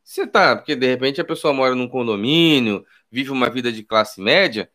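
A man's voice: medium pitch, expressive, a little fast, sharp tone. A man from Rio de Janeiro with a Carioca accent, giving casual political commentary on his channel beside his wife, emphatic and slangy, repeating key phrases. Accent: Carioca Brazilian Portuguese. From Rio de Janeiro, Brazil. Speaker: political YouTuber.

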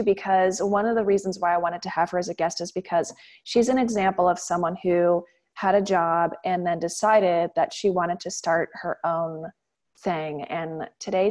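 A woman's voice: on the low side, darkish tone. A woman hosting a podcast, opening the show and introducing a guest in an upbeat, friendly way. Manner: upbeat and friendly, speaking straight to listeners. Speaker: podcast host.

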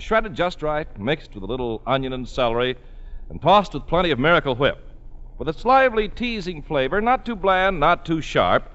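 Man announcer, old-time ad read, lively swooping voice, long reverb, muffled broadcast. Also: a little high. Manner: smooth, folksy salesmanship. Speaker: radio announcer. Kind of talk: 1940s radio commercial.

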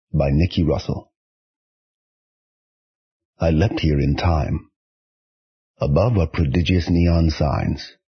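Very deep-voiced man, measured podcast host. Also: slightly rough. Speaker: podcast host and poetry reader. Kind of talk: scripted podcast presenting and poetry reading. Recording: slightly dull, music behind.

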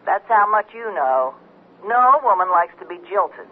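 An actress playing a pushy, sardonic jilted ex-girlfriend in an old-time radio comedy, brisk in manner. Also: medium pitch, big pitch swings. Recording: tinny phone-like vintage radio sound.

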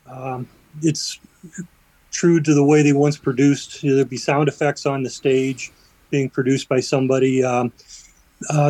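A man's voice: a little high, dark tone, no room sound, low-quality recording. A man, vice president of a community theater group, chatting as a guest on a radio arts show and explaining with fillers and restarts. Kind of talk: radio interview.

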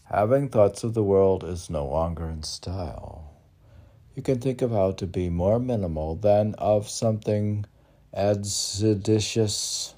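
An older man reading his own poetry aloud in a halting manner, mixing recitation with casual asides. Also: on the low side, warm tone. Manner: halting, spells out and repeats words. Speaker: poet reading own work.

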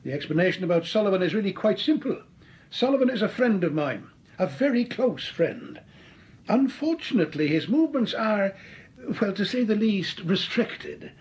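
A suave, mocking crook in a radio play. His voice sits high and bends a lot. The sound is dull and muffled. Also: sing-song, moderate pace. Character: smooth scheming male crook faking his death. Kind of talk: 1940s radio acting.

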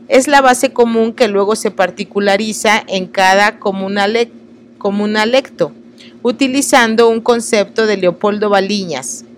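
A woman's voice: mid-range voice, a little hiss or hum. Earnest woman reading aloud. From Oaxaca, Mexico. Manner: earnest, halting over unfamiliar words, apologetic asides. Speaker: host reading texts aloud.

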